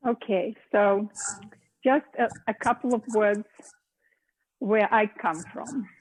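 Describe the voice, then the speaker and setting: A woman's voice: mid-range voice, slow pace, poor audio. A middle-aged woman from Latvia, hosting a cooking show and telling her story conversationally, with small hesitations. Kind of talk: casual personal account.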